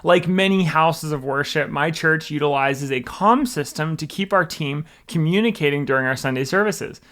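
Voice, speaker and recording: A man's voice: a little high, normal speed, a bit bright. A man with an American accent presenting a scripted product review in an instructional, methodical way. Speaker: presenter, church production director.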